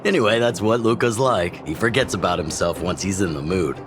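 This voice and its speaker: A man acting a deep-voiced, genial older chief. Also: fairly quick, over music, lightly acted. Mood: amused, fatherly. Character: older male underground chief, genial, teasing mentor. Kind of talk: video game dialogue acting.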